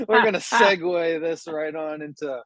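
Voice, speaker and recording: Relaxed teasing podcast co-host, a man. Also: fairly high pitch. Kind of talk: casual podcast banter.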